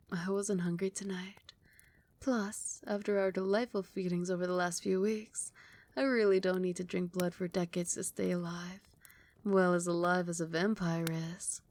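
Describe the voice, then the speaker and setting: Soft, whispery vampire queen acted by a woman. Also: mid-range voice, lightly acted. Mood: affectionate, reassuring. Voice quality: soft, breathy delivery, often dropping to a whisper. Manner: tender, soothing, regal endearments. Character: doting, possessive vampire queen soothing her beloved pet. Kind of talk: ASMR roleplay.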